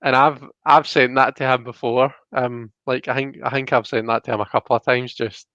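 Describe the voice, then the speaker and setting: A man's voice: medium pitch, flat delivery, normal speed, a bit bright, no echo, highs cut off. A Scottish man giving a casual tarot reading, thinking aloud and often checking that the listener follows. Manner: thoughtful, often checks with "you know" and "do you know what I mean". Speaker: host and tarot card reader.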